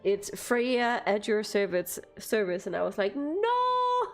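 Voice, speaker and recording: Give me a young woman with a Danish accent, unhurried and laughing as she chats to her stream. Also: medium pitch, huge pitch swings, soft background music. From Denmark. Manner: giggly, chatty, laughs often between phrases. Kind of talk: casual live-stream chat with viewers.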